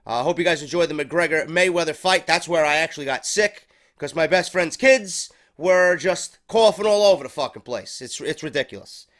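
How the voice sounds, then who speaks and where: A man's voice: a little high, moderate pace, a bit bright. A man with a stuffy head cold hosting a casual wrestling review show, rambling and swearing freely. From New York. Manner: profane, rambling, unscripted.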